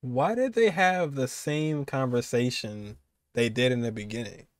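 A man's voice: medium pitch, a little slow. A man, giving informal, conversational reaction commentary. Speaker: reaction video host. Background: music.

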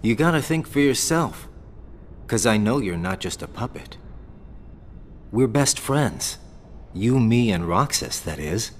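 A man's voice: fairly low pitch, very animated, unhurried, pauses often, slight background noise. A man voicing a laid-back, wry young fighter in a game cutscene, with an offhand drawl. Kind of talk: video game cutscene acting.

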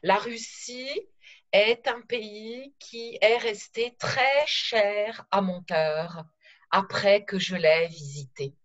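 A middle-aged woman recalling her travels in a slow, careful storytelling manner over a video call from her living room. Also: medium pitch, a bit bright, muffled recording. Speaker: interview guest. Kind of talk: conversational podcast interview.

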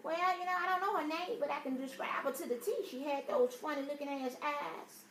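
A woman's voice: high-pitched, fairly even intonation, a little fast, fairly bright tone. A woman playing an aggrieved, easily offended customer, rambling and swearing through a prank phone complaint. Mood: indignant complaining. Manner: indignant, rambling, repetitive, foul-mouthed. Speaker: prank caller. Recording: roomy, distant, noisy phone prank recording.

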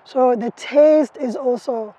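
A woman's voice: high voice, slow, dark tone, husky, poor audio. A middle-aged woman beekeeper, interviewed outdoors in an orchard, explaining with frequent fillers and restarts. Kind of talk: interview answers.

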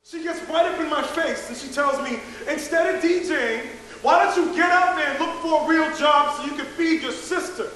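A man's voice: extremely high pitch, moderate pace, few pauses, bright, forceful tone, very echoey, in a large hall, distant mic, poor audio. An actor playing an anguished, volatile man in an intense dramatic confession monologue. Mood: strained confession.

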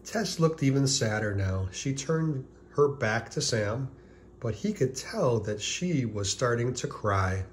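A man reading a children's picture book aloud as scripted narration, deliberately, with pauses before key words. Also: mid-range voice, expressive, a little hiss or hum.

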